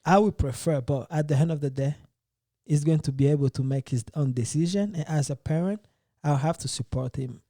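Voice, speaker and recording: A man's voice: fairly high pitch, fairly quick, dark tone, no echo, clean studio sound. A man hosting a casual podcast debate, speaking earnestly and hesitantly, often restarting his phrases. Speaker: podcast host.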